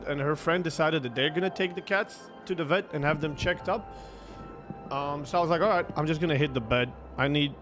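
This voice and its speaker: A young man, a streamer, telling stories casually in a rambling, halting, conversational way into a close desk microphone. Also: on the high side. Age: young adult. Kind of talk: casual livestream storytelling.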